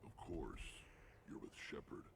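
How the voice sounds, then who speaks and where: An actor voicing an imposing, disdainful alien clansman in a video game, with a gravelly, measured delivery. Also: natural voice. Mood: scornful. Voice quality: deep, gravelly. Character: scornful, imposing male alien krogan clansman. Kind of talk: video game character acting. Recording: noisy background under game dialogue.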